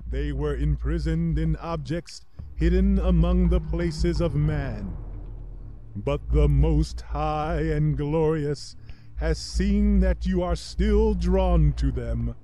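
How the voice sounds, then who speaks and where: A man playing a solemn, merciless angel of judgment in a measured audio drama style. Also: fairly high pitch, dark tone. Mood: grave.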